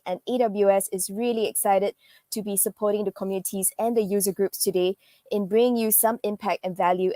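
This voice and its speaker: A woman's voice: mid-range voice, dark tone, no echo. A woman hosting an online conference, delivering a welcome address to attendees. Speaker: event host, developer marketing lead.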